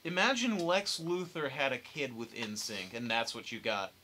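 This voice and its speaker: A man's voice: mid-range voice, normal speed, pushed and bright. A young man hosting a casual group discussion. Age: young adult. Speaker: podcast host. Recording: clear background noise.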